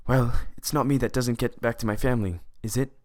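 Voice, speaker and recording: A man's voice: mid-range voice, fairly even intonation, fairly quick, a little mellow, lightly acted. A man playing a wry, pragmatic team operative in a fan audio drama, speaking in a dry, clipped way. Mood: resigned, wry.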